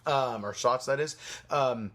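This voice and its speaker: A man's voice: mid-range voice, unhurried, fairly bright tone. A young man hosting a podcast in casual, chatty conversation, swearing freely. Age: young adult. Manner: profane, chatty. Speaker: podcast host. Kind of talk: casual chat about games and movies.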